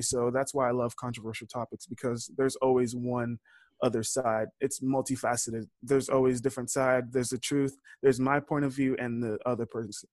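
A man's voice: mid-range voice, dry recording. A young man, a university student, talking earnestly about his podcast in a casual interview. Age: young adult. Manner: earnest, eager to debate. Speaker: podcast host, guest.

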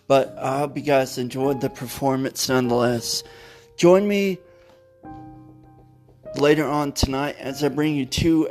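Slow-talking man hosting a podcast. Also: mid-range voice, darkish tone. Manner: slow, deliberate announcing of upcoming shows. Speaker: podcast host. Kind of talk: casual podcast outro.

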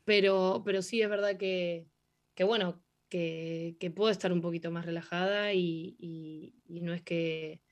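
Young Argentine woman interviewee, slightly low voice, halting delivery with lots of "em" and restarts. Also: fairly even intonation. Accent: Argentine Spanish. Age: young adult. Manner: hesitant, many fillers and restarts. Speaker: interviewee, hockey player. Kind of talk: casual interview answers.

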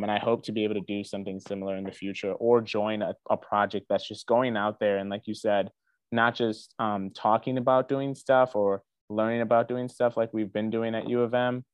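Young guy from ghana, earnest, lots of ums, clean dry sound, smooth tone. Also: lowish voice, flat delivery, moderate pace, no echo. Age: young adult. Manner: earnest, many fillers and restarts. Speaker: interview guest, student athlete. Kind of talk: casual interview conversation.

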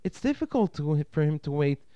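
Man putting on a high-pitched, soothing kind aunt. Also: sing-song, fairly quick, dark tone, smooth, no room sound, lo-fi sound. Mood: soothing, pleading. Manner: soothing, coaxing. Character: kind, patient Russian aunt, protective peacemaking wife.

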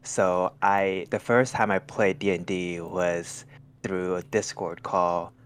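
Very low-voiced hesitant man from Thailand. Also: level tone, takes it slowly, clean tone. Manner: hesitant, many restarts and "like" fillers. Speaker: podcast guest. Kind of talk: casual chat over a call.

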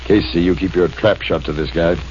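A man playing a brisk police captain. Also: low voice, steady tone, darkish tone, slight background noise, close to an everyday voice. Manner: brisk, commanding. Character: gruff male police captain. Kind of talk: 1940s radio acting. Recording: dull old radio broadcast.

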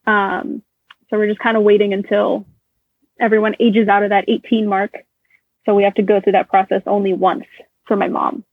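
A woman in her twenties, first raised on Russian, talks through interview answers at an even, middle pitch. She weighs her words and drops in small fillers. Her voice comes over a thin, phone-like line with no room sound. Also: moderate pace, husky, no echo. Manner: thoughtful, hedging with fillers. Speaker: interview guest. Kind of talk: casual interview conversation.

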